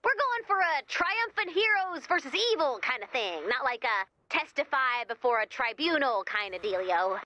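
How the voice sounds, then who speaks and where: A woman's voice: sits high, very animated, moderate pace, few pauses, bright, forceful tone, no echo, highs cut off, full character voice. A woman playing a wisecracking, eager, sarcastic female robot helper in a video game, quippy and playful with rambling asides. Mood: eager, persuasive. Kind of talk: scripted video game character dialogue.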